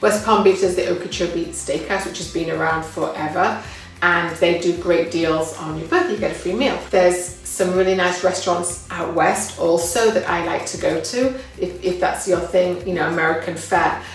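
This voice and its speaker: A woman's voice: lowish voice, fairly even intonation, normal speed, heavy reverb, far from the mic, low-quality recording. A woman with an English accent, talking casually and upbeat about local living, with fillers and restarts. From England. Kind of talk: casual talk to camera.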